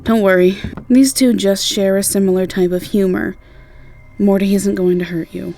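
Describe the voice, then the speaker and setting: A woman voicing a wry, reassuring woman at a fantasy inn, speaking casually with dry, gentle teasing. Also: mid-range voice, fairly even intonation, moderate pace, gravelly, soft background music, lightly acted. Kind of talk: fantasy audio roleplay character acting.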